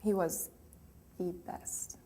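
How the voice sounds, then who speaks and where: A woman's voice: slow, warm tone, natural voice. An actress playing a grieving young woman remembering her lost sweetheart in a hesitant, emotional, confessional monologue. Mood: tender, nostalgic. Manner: hesitant, emotional, with trailing phrases. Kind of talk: dramatic monologue, confessional and conversational. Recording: clearly noisy room ambience.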